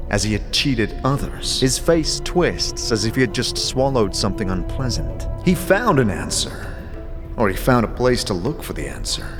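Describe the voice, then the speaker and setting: A man playing a calm, grave wanderer and narrating in a measured, brooding way in a fantasy audio drama. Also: mid-range voice. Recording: long reverb, music underneath.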